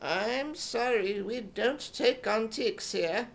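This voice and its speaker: A man voicing a doddery old pawnshop keeper with a drawn-out, quavering manner and a put-on British accent, in radio comedy style. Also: high-pitched, expressive, exaggerated voice. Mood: polite, doddery. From United States. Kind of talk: 1950s radio comedy acting.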